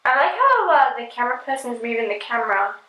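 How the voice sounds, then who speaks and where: Woman, reaction vlogger, higher pitch, giggly, lots of fillers, echoey room. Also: sing-song, fast, pushed and bright. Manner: chatty, giggly, filler-heavy. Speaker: reaction video host. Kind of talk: casual reaction commentary.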